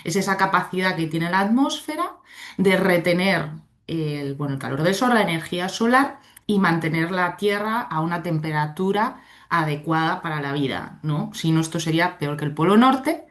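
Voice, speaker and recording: A woman's voice: lowish voice, animated, normal speed, fairly bright tone. A woman from Spain with a Spanish accent, giving a chatty online conference talk as a legal expert over a video call, often checking in with her audience. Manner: chatty, keeps checking in with "¿no?" and "¿de acuerdo?". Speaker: invited speaker, legal expert. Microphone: computer mic on a video call.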